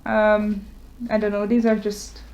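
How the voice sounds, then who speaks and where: Slow, hesitant woman with a Hungarian first language. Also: mid-range voice, completely flat delivery, a little mellow, lo-fi sound. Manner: hesitant, many fillers and restarts. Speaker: vlogger showing her journal. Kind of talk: casual show-and-tell.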